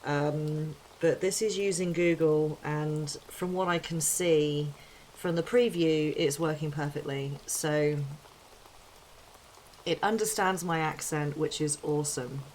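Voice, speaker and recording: A woman's voice: low voice, steady tone, slow pace, darkish tone, a little hiss or hum, low-quality recording. A woman chatting casually on a live stream, with lots of fillers and restarts, often breaking into laughter. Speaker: tarot streamer.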